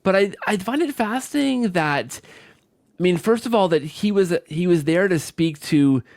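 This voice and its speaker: A man's voice: sits high, animated, moderate pace, a little mellow, dry recording, studio quality. A man hosting an interview podcast, reflecting conversationally with enthusiasm and often repeating or restarting his phrases. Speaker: podcast host.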